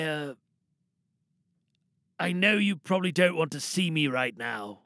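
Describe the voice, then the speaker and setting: A man playing a remorseful paroled killer in a halting, apologetic audio drama monologue. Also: a little high, a bit bright, dry recording, clean studio sound, full character voice. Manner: halting, hesitant.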